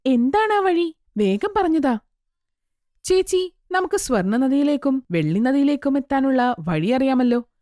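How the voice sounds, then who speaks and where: A woman's voice: high-pitched, big pitch swings, a little slow, warm tone, no echo, studio quality. A woman voicing outspoken, questioning young daughters of a goldsmith in a children's story. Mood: urgent, persuasive. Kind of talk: children's story character acting.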